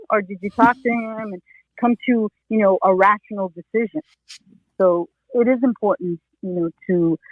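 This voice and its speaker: A woman's voice: medium pitch, dry recording. A middle-aged woman, a retired police officer, giving casual interview advice in a rambling way, full of "you know" fillers and restarts. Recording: tinny phone line.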